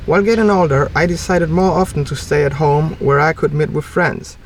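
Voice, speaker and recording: A man's voice: on the high side, steady tone, a little fast, far from the mic, a little hiss or hum, low-quality recording. A young man from Poland reading a scripted account in a plain, matter-of-fact way. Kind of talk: read-aloud scripted conversation.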